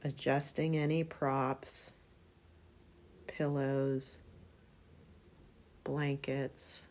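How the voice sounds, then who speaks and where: A woman guiding a relaxation meditation in a soothing, unhurried manner, leaving long pauses between phrases. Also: a bass voice, flat delivery, a little mellow. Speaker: yoga nidra meditation guide.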